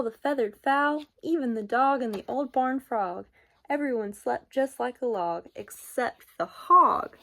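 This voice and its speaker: A woman reading a children's picture book aloud in a deliberate, expressive way, with sing-song emphasis. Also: sits high, creaky. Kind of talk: children's storybook read-aloud.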